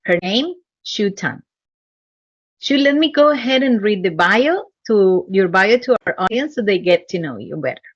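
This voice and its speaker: A woman hosting a podcast interview, speaking in an earnest, measured way with some hesitant pauses, in slightly dull lo-fi audio. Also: mid-range voice, expressive, no room sound. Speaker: podcast host. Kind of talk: interview, reading guest bio.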